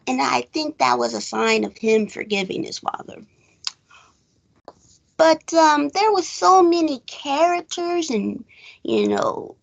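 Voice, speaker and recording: High, very creaky American woman. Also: low-quality recording. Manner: rambling, hedging with frequent "you know". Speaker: podcast host. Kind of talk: casual movie recap chat.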